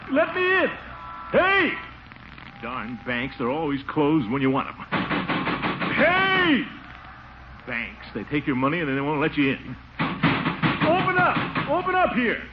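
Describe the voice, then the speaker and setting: Pompous, wildly animated blustering man. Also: a little high, takes it slowly, long pauses, heavily acted. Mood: indignant. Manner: blustering, theatrical, exclamatory. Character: pompous, excitable, boastful middle-aged water commissioner. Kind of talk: 1940s radio comedy acting. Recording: muffled, noisy 1940s radio recording.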